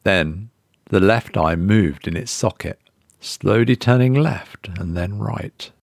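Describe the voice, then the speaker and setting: Male narrator for scripted horror tale: low pitch, measured and suspenseful, close dry sound. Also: gravelly, no room sound. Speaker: narrator reading a horror short story.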